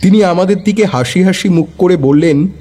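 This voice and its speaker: A man's voice: high-pitched, moderate pace, dark tone, husky. A man narrating a detective story in the first person, with a wry, dryly humorous manner. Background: faint background music.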